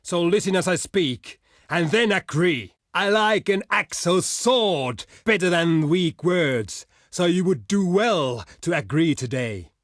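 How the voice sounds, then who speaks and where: Proud, solemn barbarian envoy. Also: male voice, high voice, animated, takes it slowly, dry recording, clean studio sound. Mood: stern, impatient. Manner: formal, solemn, declamatory. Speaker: diplomatic messenger. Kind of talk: theatrical video game voice lines.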